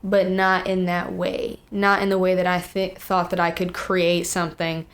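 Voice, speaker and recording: A teenage young woman chatting casually, telling stories in an animated way with acted-out quotes and plenty of "like". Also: on the low side, moderate pace. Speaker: young singer and songwriter, interview guest. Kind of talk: casual chat, storytelling.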